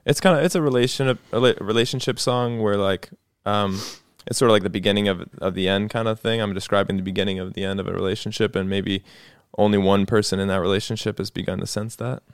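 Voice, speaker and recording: A man's voice: lowish voice, steady tone, fast talker, hardly any pauses, clear, resonant tone, dry recording, studio quality. A man, a guest musician, talking casually and thoughtfully on a podcast, with frequent fillers and restarts.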